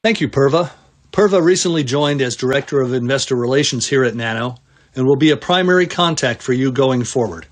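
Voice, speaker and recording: A middle-aged or older man, a chief executive, reading prepared earnings call remarks in a formal, measured, businesslike way. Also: medium pitch.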